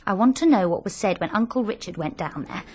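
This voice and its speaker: An actress playing a sly, flippant, languid society wife with a British accent in a radio mystery. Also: female voice, mid-range voice, expressive, fast talker, a little mellow, breathy edge. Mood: cool, evasive. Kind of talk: radio mystery drama acting.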